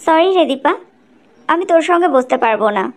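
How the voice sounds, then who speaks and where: Cartoon college mean girl, scornful and threatening, very high, animated pitch played by a woman. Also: fairly quick. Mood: accusing. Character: scornful, threatening young female college friend. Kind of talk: cartoon dialogue.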